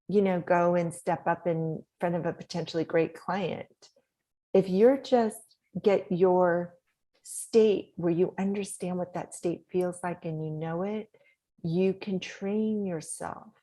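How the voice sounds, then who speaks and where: A middle-aged woman with a soft voice, talking as a podcast guest in an animated, coaching way, laughing often. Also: fairly low pitch. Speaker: guest, author and speaker. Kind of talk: podcast interview talk.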